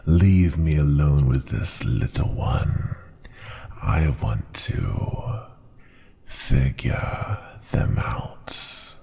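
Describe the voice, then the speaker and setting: Slow, gravelly, bottomless-voiced dragon tyrant. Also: male voice, expressive, dark tone, full character voice. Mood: suspicious, threatening. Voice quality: deep gravelly growl, breathy at times. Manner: pompous, menacing, deliberate. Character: ancient tyrannical male dragon ruler, contemptuous of humans. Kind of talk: ASMR roleplay, slow theatrical monologue.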